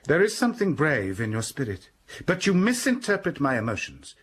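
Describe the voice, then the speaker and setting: A man's voice: fairly high pitch, huge pitch swings, a little fast, a bit bright, breathy edge, exaggerated voice. An actor playing a stern young clergyman torn by love in a radio drama, speaking restrained and earnest.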